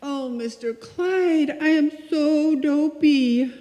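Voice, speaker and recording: An actress playing a naive, dopey young secretary with a guileless manner in a live radio comedy. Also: female voice, sits high, takes it slowly, mellow. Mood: worried. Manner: guileless, dim-witted delivery. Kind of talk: live old-time radio comedy acting. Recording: echoey hall, live stage performance.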